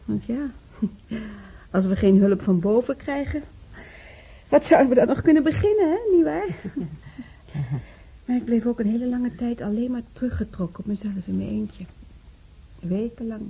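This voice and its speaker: An actress playing a pious, chattering widowed church volunteer in a radio drama, stammering and repeating herself with a husky, breathy voice. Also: female voice, fairly high pitch, moderate pace, long pauses, dark tone, exaggerated voice, rough recording. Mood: earnest, confiding. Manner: rambling, stammering, repeats herself, earnestly preachy. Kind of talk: naturalistic radio drama dialogue.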